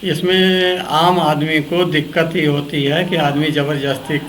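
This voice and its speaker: Male guest commentator, really slow talker, plain spoken, slightly high voice, faint noise behind. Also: little pitch movement, fairly bright tone. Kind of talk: conversational political commentary.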